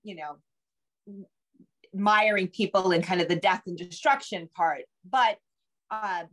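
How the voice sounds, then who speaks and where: A middle-aged woman answering as a guest author, conversational and explanatory, often halting to rephrase herself. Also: medium pitch, a bit bright. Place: home room with bookshelves, indoors. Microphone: video call.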